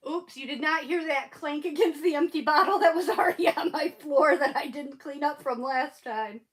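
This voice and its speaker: A woman's voice: sits high, normal speed, fairly bright tone. A woman hosting a casual crafting livestream from home, chatting and rambling with a stuffy, sniffly head cold. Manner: chatty, rambling, laughs often.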